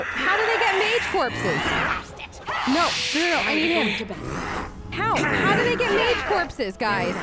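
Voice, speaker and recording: A woman giving casual, playful let's play commentary, chatty and exclaiming, with game sounds behind her. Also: very high voice. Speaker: gaming host. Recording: loud game noise behind voice. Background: game sounds and ambience.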